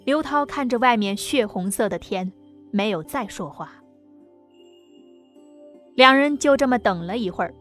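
A woman reads a dramatic audiobook story in a fairly high voice. Soft music plays underneath her scripted narration.

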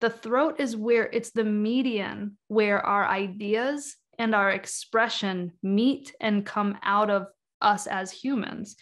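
A woman's voice: mid-range voice, slow. A woman in her thirties speaks as a guest in a video-call interview from her home, talking over a webcam. She explains ideas carefully and at length, filling her sentences with "like" and "um". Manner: thoughtful, explains at length with many "like" and "um" fillers. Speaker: guest author on energy healing. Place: home room, indoors.

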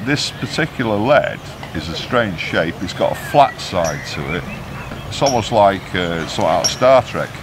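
Gesturing northwest English man by a lake. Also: low voice. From northwest England. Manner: animated storytelling with hand gestures. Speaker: carp angler. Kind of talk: casual explanation to camera. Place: outdoors by a lake under a tree. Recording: noisy outdoor recording.

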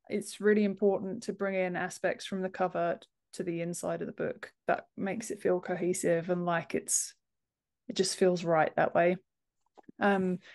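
A woman's voice: lowish voice, a little mellow. Woman chatting as a podcast guest. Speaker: podcast guest, author and book formatter. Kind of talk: casual interview chat. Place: home office room, indoors, on a video call.

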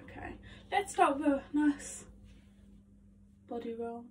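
Young woman, congested and nasal, chatty self-talk, a bit high, filmed from across a bedroom with faint noise. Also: lively intonation, takes it slowly. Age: young adult. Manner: chatty, self-talking, lots of ums. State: congested, nasal from hay fever. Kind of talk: casual vocal warm-up vlog. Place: small attic bedroom at home. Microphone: phone camera across the room. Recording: faint room noise, distant from phone.

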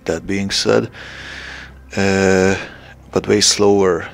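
A young man from Hungary with a Hungarian accent, making a hesitant, casual announcement to his channel's viewers, pausing often with fillers. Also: deep voice, little pitch movement, creaky, faint music behind. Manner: hesitant, many filler pauses. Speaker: channel creator.

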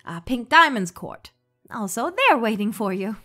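A woman's voice: on the high side, very animated, measured pace, pushed and bright, faint music behind, heavily acted. A woman playing a timid, deferential little servant gem in a cartoon comic dub, speaking hesitantly and apologetically. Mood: nervous, apologetic. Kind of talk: animated comic dub acting.

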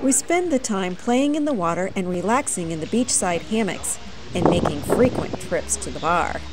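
A middle-aged woman narrating a sailing vlog in a relaxed, lively voice-over. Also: medium pitch, normal speed. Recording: voice-over with loud music behind.

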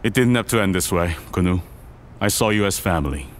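A man playing a powerful, composed older businessman and father in an audio drama, speaking in a measured, formal way. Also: deep voice, lively intonation, slight background noise. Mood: stern, betrayed. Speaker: actor in a podcast drama.

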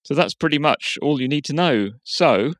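A man narrating a software tutorial in an informal, explanatory way. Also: a little high, animated, moderate pace. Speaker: tutorial presenter. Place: voice-over for a screen recording.

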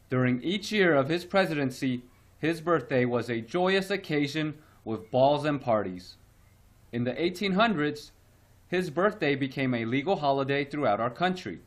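Male reader, slightly high voice, steady read-aloud pacing for a grade-school lesson. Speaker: teacher reading aloud. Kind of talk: read-aloud narration for children.

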